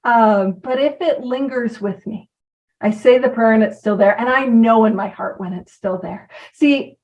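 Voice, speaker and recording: A woman's voice: on the high side, normal speed, far from the mic, lo-fi sound. A woman giving an informal talk about recovery, chatty and self-deprecating, who drifts from point to point. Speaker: recovery program speaker.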